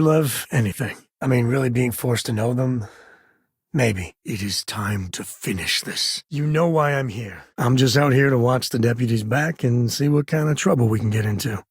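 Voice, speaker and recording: An actor playing an easygoing, wry space cowboy lawman in a video game, protective and loyal, with a folksy, teasing delivery and a husky voice. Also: medium pitch, moderate pace, dry recording, studio quality. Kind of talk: video game character voice lines.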